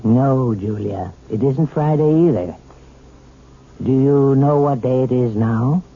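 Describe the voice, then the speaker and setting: Measured hypnotist doctor, tinny old radio. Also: male voice, medium pitch, husky. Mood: steady, commanding. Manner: measured, reassuring, commanding. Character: calm, authoritative male hypnotist doctor. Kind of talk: 1970s radio drama acting. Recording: thin, faintly noisy old radio broadcast.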